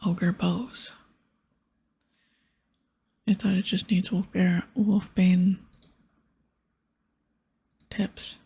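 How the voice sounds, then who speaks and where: A woman giving hushed, breathy ASMR commentary as she plays a game, mostly whispering with stretches of soft speech. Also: lowish voice, flat delivery, very slow, pauses often. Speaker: ASMR gaming streamer.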